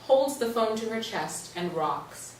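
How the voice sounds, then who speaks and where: A woman's voice: a little high, lively intonation, takes it slowly. A woman narrator reading stage directions in a scripted play reading. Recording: roomy stage, distant mic, faint noise.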